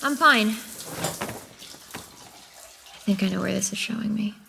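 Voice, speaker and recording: A woman's voice: medium pitch, a little slow, vocal fry, background noise. A woman playing a guarded, wry young woman who sees monsters in an audio drama, with an understated delivery. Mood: subdued. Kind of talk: audio drama acting with first-person narration.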